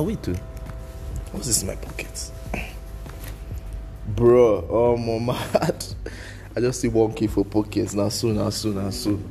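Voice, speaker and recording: A man's voice: on the low side, darkish tone. A young Nigerian man whose first language is Igbo, hosting a casual solo podcast in a loose, rambling way, mixing slang and pidgin. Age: young adult. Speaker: podcast host.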